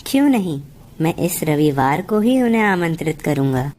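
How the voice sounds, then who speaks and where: A woman's voice: low-pitched, big pitch swings, moderate pace, darkish tone. A woman voicing a sad, polite, earnest young boy in a Hindi cartoon story. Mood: pleased.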